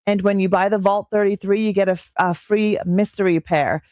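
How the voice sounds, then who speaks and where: Streamer: young woman from Canada, excitable and chatty with lots of fillers, voice a bit strained, dry close sound. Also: medium pitch, brisk, no echo. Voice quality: slightly strained voice. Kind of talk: casual live-stream chat reading chat.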